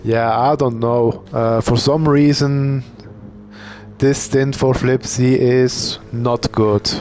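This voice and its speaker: A man commentates an online endurance race in a loose, easygoing way, stopping to think as he goes. He speaks slowly at a middling pitch. There is a faint hiss of noise behind him. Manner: relaxed, thinking aloud, with fillers. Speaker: sim racing commentator.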